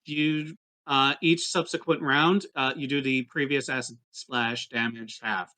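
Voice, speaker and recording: A man's voice: medium pitch, steady tone, unhurried, pushed and bright. A man playing a tabletop game, explaining rules in a casual, matter-of-fact way.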